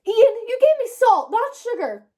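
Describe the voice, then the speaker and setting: A woman's voice: extremely high pitch, big pitch swings, measured pace, warm tone, pitched above the performer's own voice, exaggerated voice. A woman voicing a confident, bossy young girl with a showy, emphatic delivery in a children's storybook. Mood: upset. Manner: emphatic, showy, sing-song announcing. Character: confident, bossy, theatrical young girl running a lemonade stand. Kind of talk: children's storybook read-aloud.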